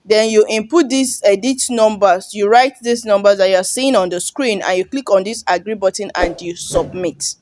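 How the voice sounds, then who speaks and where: A woman's voice: mid-range voice, normal speed, hardly any pauses, darkish tone, no room sound. A Yoruba-speaking woman from Nigeria with a Nigerian English accent, casually walking viewers through an online sign-up form and often checking "you understand?". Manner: chatty, repeats "you understand?". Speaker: online tutorial host. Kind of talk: casual screen-recording walkthrough.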